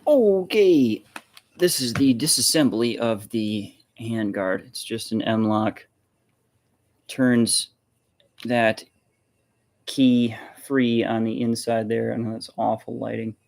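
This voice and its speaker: Slow-talking man giving a casual demo. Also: medium pitch, creaky, darkish tone. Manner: halting, muttering to himself while working. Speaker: vlog host, maker showing designs. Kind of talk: casual hands-on demonstration.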